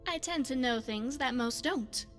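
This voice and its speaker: A woman playing a poised, secretive spy devoted to her goddess in a video game, speaking in formal, measured phrases. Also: high voice, fairly bright tone, faint music behind. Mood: devout, persuasive. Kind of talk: scripted video game character dialogue.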